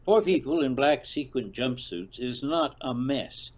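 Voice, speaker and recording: Man playing a deadpan old radio veteran. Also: medium pitch, unhurried. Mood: unflappable. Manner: deadpan, formal. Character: dry, unflappable old veteran radio announcer. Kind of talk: scripted comedic audio drama.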